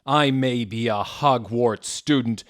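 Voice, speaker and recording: A man voicing a defiant, brooding male satanist student with loud, portentous declamation in a comic story. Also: medium pitch, takes it slowly, close to an everyday voice. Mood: angry defiance.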